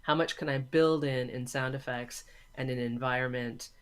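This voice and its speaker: A man speaks as an interview guest in a mid-pitched voice. He thinks aloud, building his explanation in short, halting phrases with a reflective, conversational manner. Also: fairly even intonation, clear, resonant tone. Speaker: interview guest, audio drama maker and filmmaking teacher.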